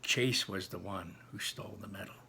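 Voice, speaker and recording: A man reading a novel aloud as animated storytelling, emphatic and dramatic, with asides to his listeners. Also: sits low, moderate pace, vocal fry, a little hiss or hum. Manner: emphatic, dramatic, repeats phrases for effect. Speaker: read-aloud teacher narrating a novel.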